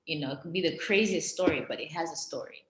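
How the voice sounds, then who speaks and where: A young woman from Mozambique with an American accent, telling her story as a podcast guest in an earnest, animated way with frequent fillers. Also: low-pitched, quick pace, noisy background. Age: young adult. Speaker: guest, creative director. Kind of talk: podcast conversation. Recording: roomy echo.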